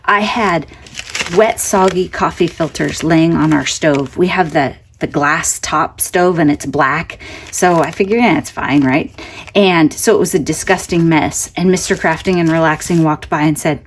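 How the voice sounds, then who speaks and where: A woman's voice: low voice, unhurried, slight background noise. A woman with a congested voice gives a casual, chatty craft update as host, rambling conversationally with self-joking asides. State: congested from allergies.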